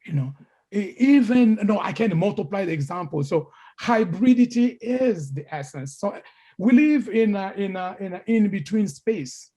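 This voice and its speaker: A man's voice: high-pitched, animated, moderate pace, mellow. A middle-aged man from Congo giving a lecture as a story, repeating words and restarting sentences.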